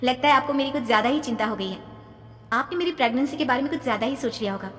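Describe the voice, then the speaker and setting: A woman's voice: high-pitched, expressive, very fast, voice pushed up. A woman voicing a sweet, plaintive young niece in a horror story. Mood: reassuring. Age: young adult. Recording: long reverb, music behind.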